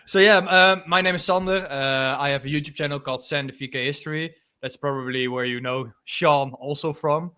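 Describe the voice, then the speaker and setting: A man's voice: on the high side, fairly even intonation, fairly quick, hardly any pauses, pushed and bright, clean tone. A man in his twenties from the Netherlands with a Dutch accent, co-hosting a casual history podcast in a loose, chatty way with many fillers. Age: 20s. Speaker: podcast co-host. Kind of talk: casual chat.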